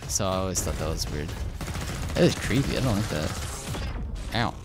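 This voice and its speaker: A young man, slightly hoarse, casually commenting over his gameplay, rambling with lots of fillers and restarts. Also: deep voice, animated, moderate pace, music in the background. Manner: rambling, hesitant, frequent filler words and restarts. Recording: game gunfire loud behind voice. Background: shooter game sounds and gunfire.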